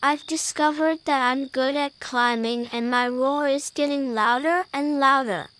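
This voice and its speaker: A young lion cub from a children's storybook, played by a woman, with a high voice. He is shy yet eager and speaks with great earnestness. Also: steady tone, measured pace, fairly bright tone. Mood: excited, unsure.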